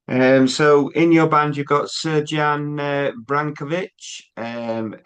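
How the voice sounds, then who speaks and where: A man's voice: on the high side, steady tone, slow pace, a bit bright, clear, resonant tone, dull audio. A man hosting a casual interview over a video call, friendly and hesitant with "um". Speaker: host.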